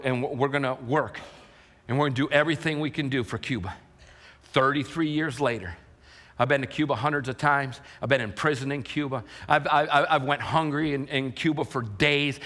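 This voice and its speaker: A middle-aged male pastor preaching a sermon as a story, shifting from loud impassioned shouting to quiet hushed telling. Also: on the high side, vocal fry. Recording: long hall reverb.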